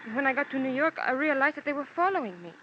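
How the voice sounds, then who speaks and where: Woman doing a worried sweet talking smuggler lady, high pleading voice, old radio drama, faint noise. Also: animated, very fast, dull audio. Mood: anxious, pleading. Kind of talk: old-time radio detective drama acting.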